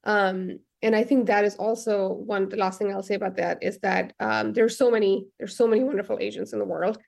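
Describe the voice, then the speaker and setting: A young woman, her voice often dropping into a gravelly fry. She answers a radio host's questions thoughtfully, pausing with frequent ums and restarting her sentences as she works out what to say. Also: mid-range voice, steady tone, darkish tone. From Pakistan. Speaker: novelist, radio guest.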